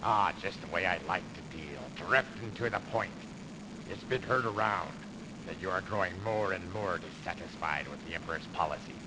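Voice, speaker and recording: A man playing a crooked, persuasive industrialist in a video game, with a gravelly voice and insinuating, theatrical delivery. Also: sits low, moderate pace, natural voice. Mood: sly, smooth. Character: crooked, smooth-talking industrialist man, calculating, persuasive schemer. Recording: noisy early CD game audio.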